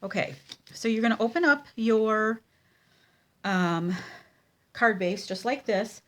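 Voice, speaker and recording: A woman with a cold and a nasal, congested voice, teaching a casual card-making tutorial at her craft table, with many ums and pauses. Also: a little high, animated.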